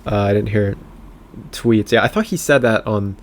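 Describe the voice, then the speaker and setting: A man's voice: lowish voice, moderate pace. A man co-hosting a casual political podcast, bantering in a sardonic, mocking manner. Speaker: podcast co-host.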